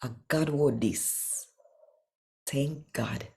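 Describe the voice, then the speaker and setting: A woman's voice: very deep voice, drawn-out words, dark tone, a little hiss or hum. A woman with a Jamaican accent hosting a devotional channel and reading scripture in Patois. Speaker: host and scripture reader.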